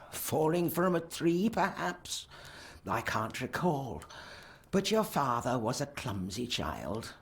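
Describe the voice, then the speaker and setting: An aged noblewoman in a period mystery game, speaking very slowly with swooping intonation. The delivery is genteel, nostalgic and guarded. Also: medium pitch, very animated. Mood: wistful, evasive. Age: older. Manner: measured, evasive, genteel. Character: elderly aristocratic lady, evasive, nostalgic, haughty. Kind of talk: video game drama dialogue.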